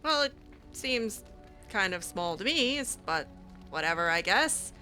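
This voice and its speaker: A woman improvising in-character chat over a video game, casual and halting, with a teasing streak. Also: medium pitch, very animated, pushed and bright. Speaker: gaming channel host, roleplayer. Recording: music behind the voice. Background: game music.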